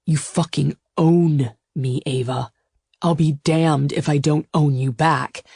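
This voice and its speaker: A woman voicing a fierce, possessive male lover in a romance audiobook, with a low growl and an emphatic, insistent delivery. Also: steady tone, slow pace, creaky, dark tone, breathy edge, no room sound, studio quality, heavily acted. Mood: fierce, urgent. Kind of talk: audiobook romance character dialogue.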